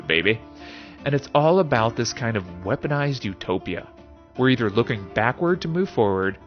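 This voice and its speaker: Wry man with very animated delivery, music behind. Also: mid-range voice, lo-fi sound. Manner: wry, rhetorical questions, theatrical emphasis. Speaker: host of a philosophy show. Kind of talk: spoken video essay with casual asides.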